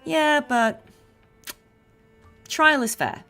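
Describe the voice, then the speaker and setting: A woman's voice: sits high, drawn-out words, bright, forceful tone, faint music behind. A woman streamer chatting casually over gameplay, with informal, playful asides. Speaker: streamer and voice actress. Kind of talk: casual livestream chat with gameplay commentary.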